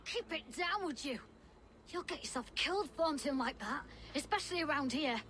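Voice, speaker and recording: A woman's voice: high-pitched, animated, normal speed, fairly bright tone, a little hiss or hum. A woman playing a cocky young street hustler in a video game, cheeky and streetwise. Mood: wary, curt. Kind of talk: video game character acting.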